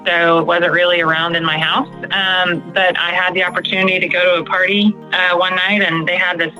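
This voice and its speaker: A woman's voice: on the low side, flat delivery, a little fast, sharp tone, dull audio, faint music behind. A woman in her forties from Texas with an American accent, telling her personal story conversationally as a podcast guest. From Texas, United States. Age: 40s.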